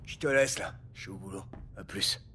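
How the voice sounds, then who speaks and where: An actor playing a terse, evasive male police agent in an audio drama, low-key and guarded. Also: fairly quick, noisy background, lightly acted. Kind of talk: dramatic audio series acting.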